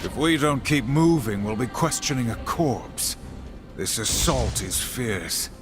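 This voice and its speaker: A man's voice: mid-range voice, animated, measured pace, over music, close to an everyday voice. An actor playing a grim, dutiful warrior horseman in a video game, terse and formal. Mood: stern. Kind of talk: video game cutscene acting.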